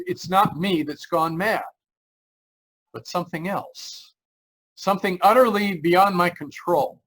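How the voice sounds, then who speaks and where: An actor playing an anxious, halting man in his thirties in an old-time radio drama. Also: a little high, fairly bright tone, low-quality recording. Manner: urgent, halting, nervous. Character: anxious man in his thirties recounting a terror, insisting he is sane.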